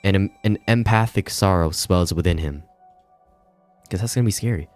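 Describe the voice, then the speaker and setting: A male game streamer with a very low voice on a dry, close microphone. He reads story narration aloud and drops in informal comments. He stumbles on long words and swears casually. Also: moderate pace, a little mellow, no room sound, soft background music. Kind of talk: reading visual novel narration aloud with casual asides.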